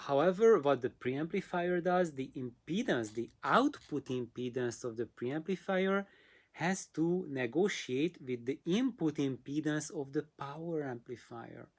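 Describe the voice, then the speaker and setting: A host explains a technical matter in a casual way at a medium pitch. The delivery is halting, with frequent pauses, and leans on simple, practical comparisons. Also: animated. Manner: halting, pausing, explains with simple hands-on analogies. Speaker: host answering audio questions.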